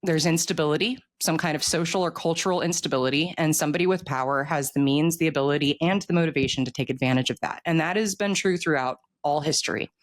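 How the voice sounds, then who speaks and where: An author with an American accent talking casually in an interview, explaining opinions in an animated way and often checking in with the listener. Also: medium pitch, quick pace, hardly any pauses, dry recording.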